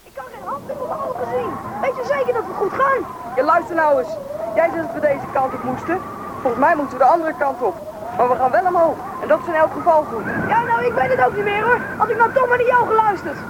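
Scared young boy lost in snow, high voice, radio drama, very noisy recording. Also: lively intonation, quick pace, a loud crowd. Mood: anxious. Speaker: actor in a radio play. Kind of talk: Dutch radio drama acting. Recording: noisy radio play with storm ambience.

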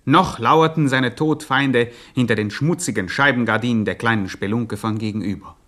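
Man as sharp, forceful suspense narrator. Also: medium pitch, normal speed, natural voice. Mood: ominous. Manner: ominous, declaiming. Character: suspenseful, melodramatic male co-narrator. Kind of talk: scripted radio serial narration.

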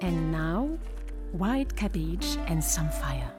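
A woman's voice: low voice, big pitch swings, slow pace, exaggerated voice. A woman playing a restaurant server who formally announces each course. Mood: formal announcing. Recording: music clearly under the voice.

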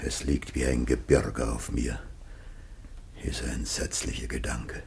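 A man's voice: extremely low pitch, flat delivery, slow, gravelly, a little mellow, slight background noise, heavily acted. An actor playing the aged first man, anguished and awaiting death, in solemn, declamatory radio-play style. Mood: sorrowful, grave. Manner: grave, declamatory, archaic verse-like diction. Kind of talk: solemn classical German radio play acting.

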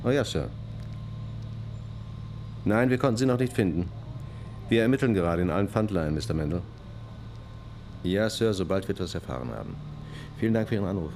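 A man's voice: sits low, animated, background noise. A man playing a courteous, businesslike police detective in a radio crime drama. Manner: brisk, official, respectful.

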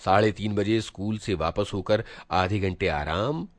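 A man reading a literary short story aloud as an expressive narrator. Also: lowish voice, unhurried, dry recording. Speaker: narrator, audiobook reader.

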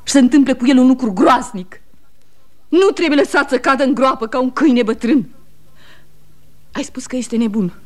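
Radio drama, woman playing aging wife and mother: high voice, fiercely loyal, emphatic, pleading, reproachful. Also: pauses often, a little mellow, close to an everyday voice. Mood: indignant grief. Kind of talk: 1960s Romanian radio theatre acting.

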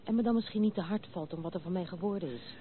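A woman's voice: fairly low pitch, fast, natural voice. An actress playing a spirited but wounded young noblewoman in a Dutch radio drama, speaking candidly and earnestly in literary phrases. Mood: earnest, vulnerable. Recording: narrow tinny old radio recording, faint hiss.

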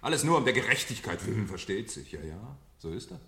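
An actor playing a mocking fire captain with a falsely hearty, theatrical, menacing manner in a 1970s German radio drama. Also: male voice, fairly low pitch, very animated, fast, vocal fry, a bit bright, full character voice. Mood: menacing, sardonic. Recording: echoey room, vintage radio play.

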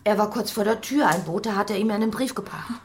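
An actress playing a cheerful young adult daughter in a radio drama, chatting in a lively, girlish, gossipy way. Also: mid-range voice, brisk, a little hiss or hum, close to an everyday voice. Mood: excited, chatty. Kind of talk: German radio drama acting.

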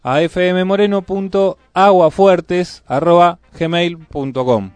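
A man's voice: high-pitched, takes it slowly, darkish tone, clean tone, no room sound. A man with a Buenos Aires accent co-hosting casual community radio, chatting and reading out messages in a studio. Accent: Buenos Aires Argentine. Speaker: radio co-host. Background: music.

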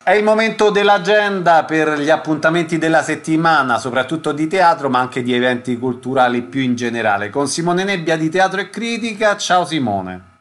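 A man's voice: fairly high pitch, lively intonation, moderate pace, few pauses, a bit bright, faint music behind. A man presenting a radio programme as its host.

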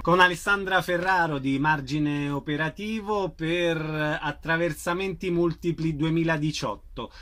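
A man hosting a radio culture show, asking brisk questions and introducing guests. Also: on the high side, a bit bright. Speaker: radio host. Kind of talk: radio interview.

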